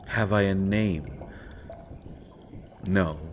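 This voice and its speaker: A menacing male assassin from an audio roleplay, acted by a man, speaks in a very deep voice at a slow, deliberate pace. He is brooding and sardonic, leaving dramatic pauses as he mocks. Faint ambient noise sits behind the voice. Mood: cryptic, menacing.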